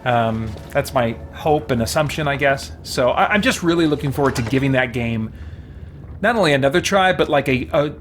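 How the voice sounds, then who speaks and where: A man's voice: mid-range voice, animated, normal speed, a bit bright, faint music behind. A man livestreaming a video game, chatting casually and thinking aloud in a rambling way. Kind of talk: casual chat while playing a video game.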